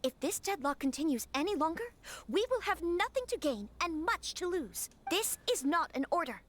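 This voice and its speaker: Very high voiced young noble lady, anxious but poised, formal video game dialogue. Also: very animated. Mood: firm, commanding. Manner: earnest, formal, measured. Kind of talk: English video game voice acting.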